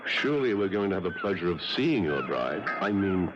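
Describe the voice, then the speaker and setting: A man's voice: low-pitched, sing-song, brisk, music in the background. An actor playing a hearty, chatty man who is curious about an old friend's secrets, in a 1970s radio drama. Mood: taken aback. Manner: hearty and chatty, laughing easily. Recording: dull old radio broadcast with hiss.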